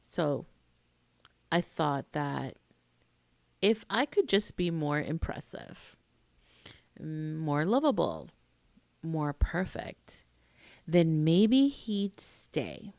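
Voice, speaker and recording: American woman late 40s talking to camera, slow and expressive, really dry close sound. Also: low-pitched, gravelly, no echo. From Queens, New York, United States. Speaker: vlogger, emotional intimacy coach. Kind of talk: personal storytelling to camera.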